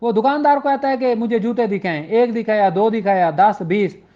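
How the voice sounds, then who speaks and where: Male law lecturer, Pakistani Punjabi accent, high-pitched, conversational and explanatory, dull recording. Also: normal speed. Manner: conversational, poses rhetorical questions, switches into English legal terms. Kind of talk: informal explanatory lecture with examples, reading out statute slowly.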